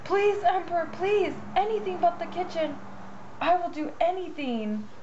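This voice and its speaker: A woman's voice: very high voice, unhurried, a little mellow, dull audio, slight background noise. A woman voicing an earnest, dramatic vegetable character in an amateur puppet show. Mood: pleading. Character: zealous vegetable farmer and pleading young carrot.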